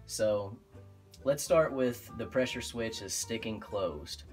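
A man with an Oklahoma accent, an HVAC technician explaining furnace repair in a relaxed, practical way, with frequent ums and restarts. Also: lowish voice, takes it slowly, faint music behind. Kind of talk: instructional how-to explanation. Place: indoor utility closet by a furnace.

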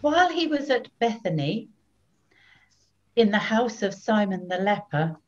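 An older woman narrating scripture solemnly and deliberately, with expression, into a webcam in a small room at home. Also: medium pitch, big pitch swings. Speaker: narrator (evangelist). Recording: slightly dull webcam recording.

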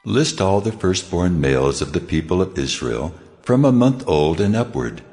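A very deep, echoing, commanding God. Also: male voice, big pitch swings, moderate pace, a little mellow, faint music behind. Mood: solemn, commanding. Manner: solemn, authoritative pronouncements. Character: God of Israel, commanding, solemn divine voice. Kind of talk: dramatized scripture reading. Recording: long cavernous reverb.

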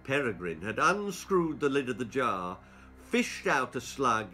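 A man in his sixties reads a children's story theatrically. His pace is slow and deliberate, his intonation lively and his tone bright and crisp. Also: mid-range voice, faint music behind. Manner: expressive, deliberate. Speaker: author reading his own stories. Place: small book-lined room indoors.

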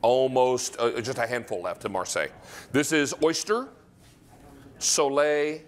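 Middle aged american guy selling stuff live on tv, gushy and folksy, normal pitch. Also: lively intonation, unhurried. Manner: effusive, folksy. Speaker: shopping channel host. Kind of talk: live home-shopping sales pitch. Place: TV studio kitchen.

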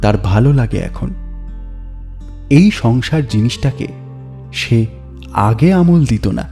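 A man narrating a story in a measured, atmospheric, scripted style with dramatic pauses. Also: medium pitch, lively intonation, warm tone, soft background music.

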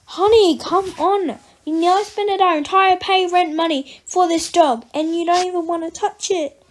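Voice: sits high, animated, takes it slowly, darkish tone, a little hiss or hum, heavily acted. A child voicing a patient mother who coaxes her scared daughter, in a pretend play skit. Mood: pleading.

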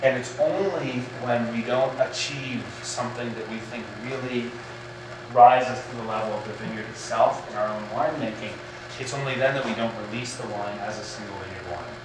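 A man in his fifties talking as a winemaker, explaining things in a thoughtful, measured, conversational way. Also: medium pitch, background noise. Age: 50s. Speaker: winemaker guest. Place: large indoor winery barrel room and tasting bar. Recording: very echoey room, distant mic, music underneath. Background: clear background music.

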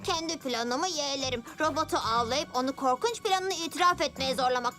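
A woman's voice: high voice, normal speed, close to an everyday voice. A woman voicing a bossy, boastful boy genius villain in a children's cartoon dub, with pompous, commanding delivery. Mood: commanding, suspicious. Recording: loud music bed behind.

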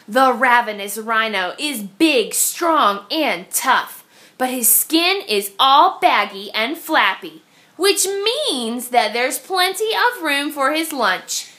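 A woman reads rhymes from a children's picture book in a bright, forward voice. She takes her time, with a very expressive, sing-song storyteller's delivery that swings widely in pitch. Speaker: read-aloud storyteller for young children.

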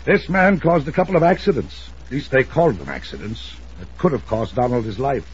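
An actor playing a wealthy older uncle in a 1950s radio drama, emphatic, domineering and anxious. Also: male voice, mid-range voice, animated, fairly quick, lightly acted. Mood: insistent, grave. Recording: dull, noisy old radio recording.

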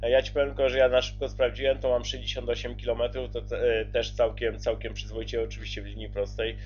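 A man's voice: mid-range voice, level tone, fast, darkish tone, dull audio, faint music behind. A man chatting casually as a CB radio operator, passing the conversation between stations. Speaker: CB radio operator relaying calls.

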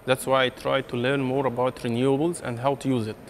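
Young male student from Egypt, mid-pitched, light hall hum, straightforward. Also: little pitch movement, fairly quick. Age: young adult. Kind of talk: casual interview answer. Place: large lab hall.

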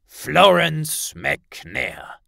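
A man's voice: a little high, big pitch swings, very slow, creaky, pushed and bright, no echo, studio quality, pitched above the performer's own voice, exaggerated voice. A man playing a grave, kindly minister of magic in an audiobook, speaking with serious authority. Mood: grave, firm. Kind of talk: audiobook character dialogue.

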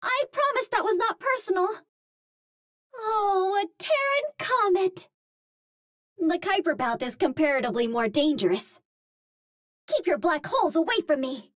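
An actress playing a cute, earnest young Martian heroine in a video game, speaking politely in precise, formal phrases. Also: female voice, extremely high pitch, expressive, a little slow, long pauses. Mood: earnest, bright. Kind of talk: video game character voice lines.

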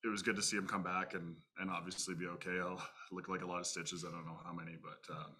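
A very deep man with a sharp, bright edge and a flat delivery speaks as a hockey goalie at a table mic in an arena press room, with a faint hiss behind him. His answers are brief and matter-of-fact. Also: quick pace. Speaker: hockey goaltender. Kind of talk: postgame press conference answers.

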